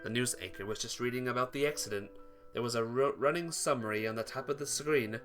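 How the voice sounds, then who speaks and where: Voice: medium pitch, fast, soft background music. A let's player reading a visual novel aloud as dramatic narration, occasionally stumbling and correcting the wording.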